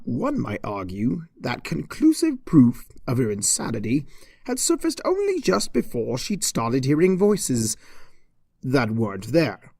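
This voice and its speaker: An arch, theatrical narrator reads a comedic story with mock pomposity. The pitch swoops widely from phrase to phrase, and the sound is dry, with very little room in it. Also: wildly swooping pitch, a little slow, darkish tone, breathy edge, no room sound. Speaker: fan fiction reader.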